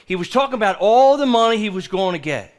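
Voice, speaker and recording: High-voiced, excitable man played on stage. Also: fast talker, smooth. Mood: excited, insistent. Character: excitable, treasure-hungry man named Bernard. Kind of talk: live stage play acting.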